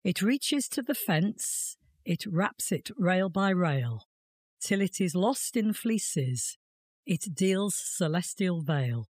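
A woman reciting poetry aloud as a volunteer reader, with measured, deliberate phrasing. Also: fairly low pitch, big pitch swings, a little mellow, slightly rough, dry recording, studio quality.

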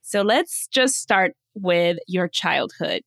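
A woman speaking as a podcast host, upbeat and expressive, addressing people directly in a conversational way. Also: lowish voice, slow pace, gravelly, dry recording, clean studio sound. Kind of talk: podcast intro and interview chat.

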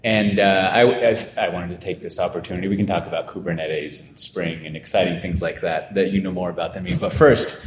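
Male host, low voice, chatty and easygoing; echoey room with a faint rumble. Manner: easygoing, chatty interviewer with quick affirmations. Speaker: podcast host. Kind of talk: casual interview. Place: conference venue.

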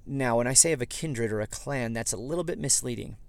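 A man with a slightly froggy, hoarse voice hosts a casual podcast, rambling and correcting himself as he goes. His pitch sits in the middle range. He records inside a vehicle, and the sound is close and dry. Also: a little fast, no room sound. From southeast Idaho, USA. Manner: rambling, self-correcting, conversational. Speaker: podcast host. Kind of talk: casual history podcast monologue.

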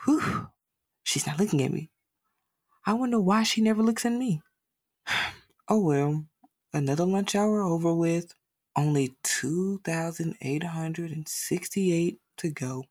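A woman's voice: fairly low pitch, a little slow, pauses often, darkish tone, no echo, clean studio sound. A woman performing a monologue as a lonely, self-doubting young boy, glum and self-deprecating. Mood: fretful, resigned. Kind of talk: dramatic monologue reading.

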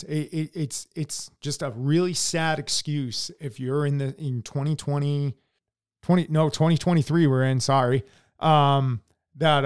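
A man's voice: on the high side, clear, resonant tone. A man co-hosting a podcast, talking bluntly with lots of swearing and stammered restarts in a casual opinion chat. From United States. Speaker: podcast co-host.

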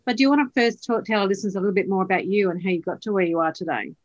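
A woman's voice: medium pitch, very fast, highs cut off. Woman podcast host asking chatty questions. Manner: warm scripted intro, then chatty questions with tag questions. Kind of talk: podcast interview.

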